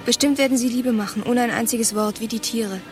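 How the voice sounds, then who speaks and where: A woman's voice: fairly high pitch, steady tone, a little fast, over music, close to an everyday voice. A woman playing a nosy, judgmental onlooker in a radio play, reporting what she spies in short, insinuating phrases. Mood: mounting fascination.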